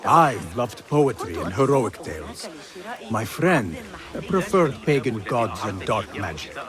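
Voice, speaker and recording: An actor playing a purposeful young assassin, wry and observant, in a video game. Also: male voice, on the low side, very animated, takes it slowly, crowd noise. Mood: pensive, reserved.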